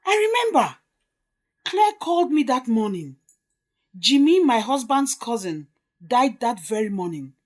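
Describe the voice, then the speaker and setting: A woman's voice: a little high, very animated, a little slow, pauses often. A woman playing an indignant, melodramatic middle-aged mother in a story drama. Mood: shocked, indignant. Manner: emphatic, melodramatic.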